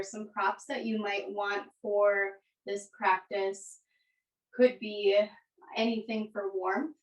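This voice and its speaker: A woman leading a yoga class as the instructor, giving gentle, unhurried cues with pauses between them. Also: mid-range voice. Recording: echoey room, distant microphone.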